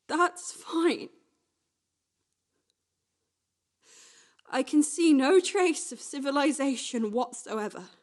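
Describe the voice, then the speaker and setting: Woman acting a high-voiced, restless, wistful young woman. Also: lively intonation. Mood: frantic. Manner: halting, repetitive, swinging from hushed to agitated. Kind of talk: radio play monologue, naturalistic and confessional.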